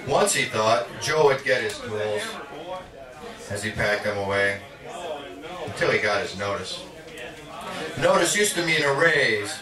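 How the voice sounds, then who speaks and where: A man reading poetry aloud at a live reading in a measured, dramatic spoken-word delivery. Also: on the low side, expressive, a crowd in the background. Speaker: poet. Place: indoor literary cafe reading. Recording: echoey, distant live recording with audience. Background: audience sounds.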